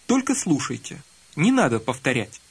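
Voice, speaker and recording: A man narrates a language lesson with a fairly high, husky voice. His delivery is scripted and instructive, with clear prompts to listen and repeat, and his pitch moves a lot. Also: sing-song, moderate pace.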